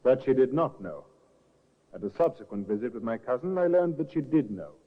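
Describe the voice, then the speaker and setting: An actor playing a cousin giving matter-of-fact testimony in a 1950s radio drama. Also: male voice, medium pitch, lively intonation, brisk, natural voice. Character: plain-spoken male cousin witness. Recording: tinny old radio broadcast.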